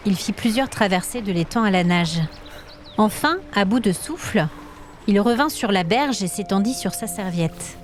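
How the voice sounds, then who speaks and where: A woman tells a scripted fantasy tale as a storyteller. Her voice has a medium pitch, and the narration is dramatic, with light music underneath. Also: expressive, normal speed.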